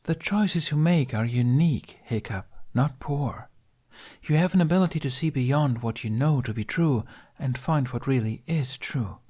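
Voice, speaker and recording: A man voicing a serene young Viking maiden for an audiobook, a mystical warden who speaks softly, formally and with knowing amusement. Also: medium pitch, normal speed, breathy edge, voice pushed up, lightly acted. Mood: calm, firm.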